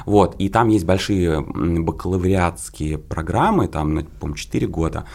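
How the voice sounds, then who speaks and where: Low, creaky-voiced man explaining haltingly. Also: a little mellow. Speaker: interview guest. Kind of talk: casual chat, explaining.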